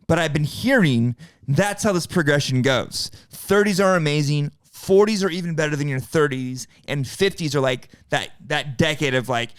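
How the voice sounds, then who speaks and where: A man's voice: on the high side, normal speed, clear, resonant tone, no room sound. A man in his forties co-hosting a podcast, chatting casually in a blunt, joking way and swearing freely. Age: 40s. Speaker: podcast co-host. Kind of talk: casual chat.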